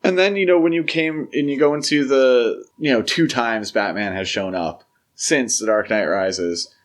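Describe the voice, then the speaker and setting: A man with an average-pitched voice talks as a podcast co-host. His delivery is conversational and informal, full of little verbal fillers as he discusses films. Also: moderate pace. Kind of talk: casual film discussion.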